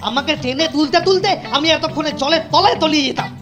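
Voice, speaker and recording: A woman's voice: sits high, fast, lightly acted. A woman voicing an irritable, rude grown man in a cartoon who scolds in exasperation. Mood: scolding. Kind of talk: animated cartoon dubbing. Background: cartoon background music.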